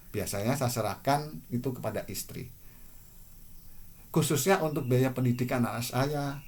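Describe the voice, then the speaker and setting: A man in his forties from Indonesia, speaking to camera in a measured, earnest and reflective personal monologue. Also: medium pitch, darkish tone. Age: 40s. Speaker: vlogger.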